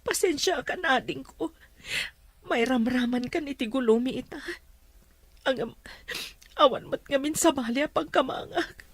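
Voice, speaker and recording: A woman's voice: sits high, moderate pace, no room sound. An actress playing a troubled wife and mother who pleads. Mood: pleading.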